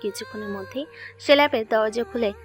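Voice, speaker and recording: Female narrator, slightly high voice, scripted dramatic storytelling over soft music. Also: fairly quick.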